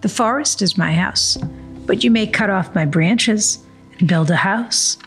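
A woman's voice: mid-range voice, a little slow, soft background music, natural voice. A woman voicing a loving, self-sacrificing female tree in a storybook, tender and wistful. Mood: tender, eager.

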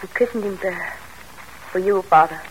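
A woman's voice: on the low side, moderate pace, close to an everyday voice. An actress in a classic radio drama playing a devoted, anxious young mother and daughter, earnest and genteel in manner. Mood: tender. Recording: noisy old radio drama recording.

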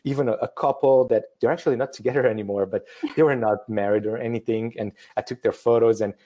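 Man, 30s, from Romania, mellow dark tone, hesitant, self-deprecating. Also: medium pitch, fairly even intonation, fast talker. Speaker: photographer guest. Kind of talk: casual live-stream conversation.

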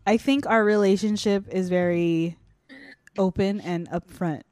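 A young woman co-hosting a casual chat, relaxed and loose with fillers. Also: medium pitch, a little slow. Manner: relaxed, trails off with fillers and laughs. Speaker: podcast co-host.

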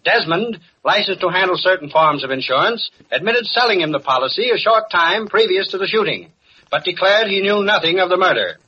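An actor playing an American radio news commentator, emphatic and solemn, in an old-time declamatory style. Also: male voice, high-pitched, animated, brisk. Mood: grave, indignant. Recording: muffled, hissy vintage radio recording.

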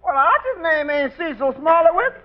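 An actor playing a dry-humored tavern waiter in a 1940s radio sitcom, with folksy ungrammatical speech and stammered repeats. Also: male voice, very high voice, sing-song, moderate pace. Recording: tinny old radio broadcast with hiss.

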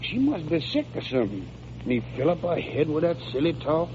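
Folksy, high-pitched servant George. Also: male voice, wildly swooping pitch, fairly quick. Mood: disapproving. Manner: folksy, ungrammatical, hesitant. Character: simple, plain-spoken, protective country servant George. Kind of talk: 1940s radio acting. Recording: muffled, noisy old radio recording.

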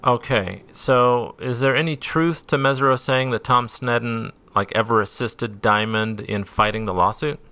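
A man's voice: medium pitch, fairly even intonation, normal speed, few pauses, pushed and bright, no echo. A man co-hosting who reacts and asks joking, casual questions. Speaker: podcast co-host. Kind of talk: casual chat.

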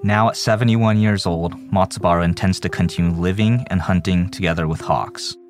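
A man reading scripted documentary narration as a storyteller, in a measured manner. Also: low voice, steady tone. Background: soft background music.

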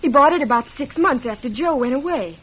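High, husky young ranch girl. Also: animated, fairly quick, fairly bright tone, heavily acted. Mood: earnest. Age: young adult. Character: earnest young farm daughter, loyal and anxious. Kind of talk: old-time radio western acting. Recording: dull vintage radio recording.

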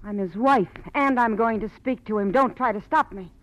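A woman's voice: fairly high pitch, normal speed, close to an everyday voice, poor audio. A woman acting a distraught, defiant wife in a 1940s radio melodrama, intense and demanding.

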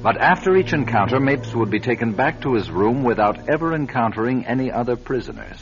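A man giving measured, scripted dramatic narration in a vintage radio drama. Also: mid-range voice, lively intonation, lo-fi sound. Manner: measured, storytelling. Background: music.

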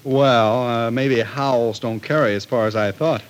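A man's voice: mid-range voice, steady tone, measured pace, lightly acted. An actor playing a young new father in an old radio soap, doting and boastful, with an earnest, boyish, teasing manner. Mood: playful boasting. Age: young adult. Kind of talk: 1940s radio soap acting. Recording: noisy vintage radio recording.

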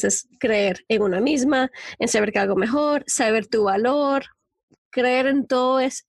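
A woman's voice: fairly high pitch, husky, no echo. A young woman from Venezuela, a guest speaking openly and affectionately about her life. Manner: affectionate, open, chatty with fillers. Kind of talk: candid interview talk.

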